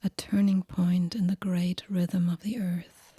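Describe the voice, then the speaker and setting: Breathy, whispering woman guiding slow meditation. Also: lowish voice, level tone, dry recording. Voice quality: breathy, hushed, near-whisper. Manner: gentle, unhurried, soothing, with long pauses. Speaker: yoga nidra meditation guide. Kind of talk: guided relaxation meditation, soft and whispery.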